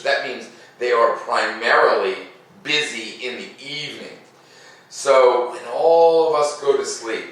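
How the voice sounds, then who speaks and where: A middle-aged American man, a teacher speaking to young children with animated, exaggerated emphasis. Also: mid-range voice. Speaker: teacher reading stories aloud to young pupils. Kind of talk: casual chat to children, then storybook read-aloud. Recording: echoey room, a bit far from mic.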